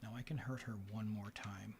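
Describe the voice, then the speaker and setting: A man hosting a board game in ASMR style, mixing soft speech and whispers as he murmurs an unhurried play-by-play. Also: fairly low pitch, fairly even intonation. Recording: clear background noise. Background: room ambience, board game handling sounds.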